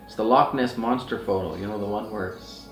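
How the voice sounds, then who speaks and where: Middle-aged man, lowish voice, earnest off-the-cuff storyteller, recorded at a distance in a roomy space. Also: a little fast, a bit bright. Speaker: outdoor outfitter and Sasquatch researcher. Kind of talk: casual rambling anecdotal talk. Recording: roomy echo, distant mic, faint music.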